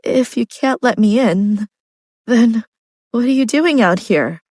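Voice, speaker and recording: A woman voicing a desperate young wife who pleads with her husband through tears, her voice pitched high above her narration. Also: lively intonation, slow pace, a little mellow, dry recording, studio quality. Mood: pleading.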